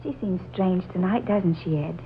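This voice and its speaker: An actress playing a chatty, teasing young confidante, in 1940s radio drama style. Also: female voice, on the low side, normal speed, lightly acted. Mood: lively. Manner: inquisitive, teasing. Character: chatty, curious young woman, a romantic confidante. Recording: dull, faintly noisy old radio recording.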